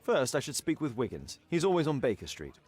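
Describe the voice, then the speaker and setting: An actor playing a composed Victorian gentleman detective in a video game, English, formal, measured and wry. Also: medium pitch, wildly swooping pitch, slight background noise. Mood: deliberate, scheming. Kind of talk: video game character acting.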